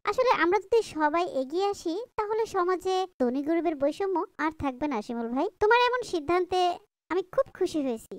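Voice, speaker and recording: A woman's voice: very high-pitched, animated, brisk, no echo. A woman playing a kind, pious mother in an Islamic moral cartoon, speaking in an earnest, patient way. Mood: kindly, polite.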